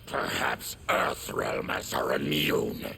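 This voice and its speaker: An actor voicing an embittered, diseased monstrous warrior in a video game, with a grim, weighty growl. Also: male voice, rumbling low, huge pitch swings, sharp tone, slight background noise, full character voice. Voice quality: gravelly growl. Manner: slow, grim, weighty delivery. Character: embittered diseased monstrous male warrior, former soldier and merchant. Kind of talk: video game character dialogue.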